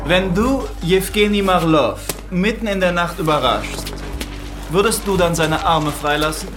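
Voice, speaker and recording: An actor playing a curt, commanding army lieutenant in a radio play, giving short orders with suspicion. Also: male voice, sits high, unhurried, over music. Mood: stern, suspicious. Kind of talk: German radio play acting.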